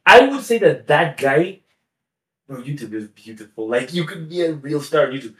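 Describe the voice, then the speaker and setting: A man with a fairly high voice talks as a podcast guest in a loose, chatty way. He laughs often and drifts into asides before getting back on track. The room around him is echoey. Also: sing-song, normal speed, fairly bright tone. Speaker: guest YouTuber. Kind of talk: casual podcast conversation.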